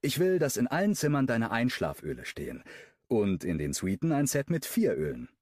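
A man narrating a first-person romance audiobook, his mid-pitched voice rising and falling with lively expression. The studio recording is close and completely dry. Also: moderate pace, dry recording. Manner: expressive, with wide pitch movement.

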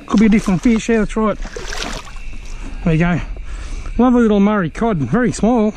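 An Australian man giving chatty, excitable commentary while fishing on a riverbank, filmed on an action camera and talking to the fish he catches. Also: high voice, a little fast. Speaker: fishing vlogger. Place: outdoors on a riverbank.

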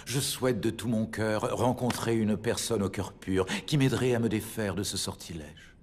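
An actor playing a noble, earnest young prince under a curse in a cartoon, speaking formally. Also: male voice, lowish voice, moderate pace, long reverb tail, lightly acted. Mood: sorrowful earnest.

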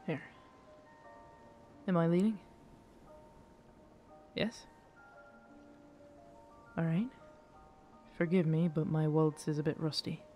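Slow-talking, world-weary pirate captain over music acted out. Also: medium pitch, steady tone, warm tone, lightly acted. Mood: awkward, softly teasing. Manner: slow, hesitant, halting, rough pirate phrasing. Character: world-weary cursed pirate captain, gruff, guarded yet tender. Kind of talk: ASMR roleplay audio drama, intimate second-person scene.

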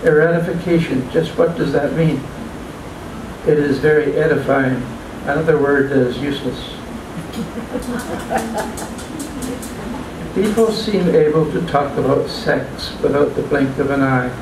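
An older man reading his own poems at an open mic in a small restaurant, halting and plain, stumbling and restarting now and then. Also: a little high. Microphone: stand mic, filmed from a far camera. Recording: echoey room, distant mic, background hum.

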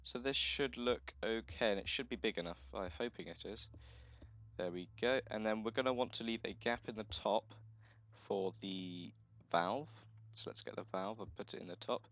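Low-voiced, croaky young man narrating gameplay. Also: normal speed, fairly bright tone, highs cut off. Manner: chatty, self-correcting, thinking aloud. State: croaky from just waking up. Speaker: let's play host. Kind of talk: casual gameplay commentary.

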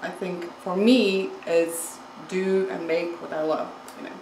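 A woman's voice: on the low side, steady tone, a little mellow, a little hiss or hum. Hungarian-accented woman, echoey room. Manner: halting, searching for words, many fillers. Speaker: home microgreens grower. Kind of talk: casual interview answers. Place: home room with window, indoors. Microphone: lapel mic.

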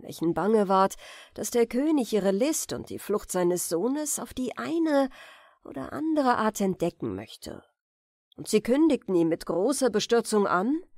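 Slow, high-voiced woman reading fairy tales. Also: darkish tone, dry recording, clean studio sound. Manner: measured, careful storybook reading. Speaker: audiobook narrator. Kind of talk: expressive scripted fairy-tale narration for bedtime.

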